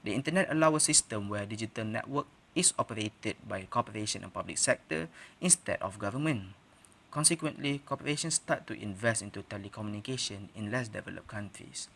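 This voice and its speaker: A man's voice: medium pitch, a bit bright. Young man delivering a formal debate speech. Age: young adult. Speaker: student debate speaker.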